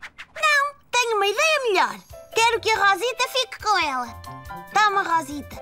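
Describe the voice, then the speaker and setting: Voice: very high voice, very animated, moderate pace, bright, forceful tone, faint music behind, heavily acted. A performer voicing an anxious, caring small child in a children's cartoon dub, with a European Portuguese accent. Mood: eager. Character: anxious, caring four-year-old boy and his little playmates.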